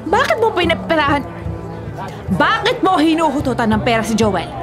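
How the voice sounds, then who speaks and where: A scornful, bossy older ex-wife in a radio drama. She scolds and accuses in a fairly high voice, with music clearly behind her. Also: very animated, normal speed, a crowd in the background. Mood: angry, scornful. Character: domineering, jealous old ex-wife, scornful and confrontational. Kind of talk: Filipino radio drama acting.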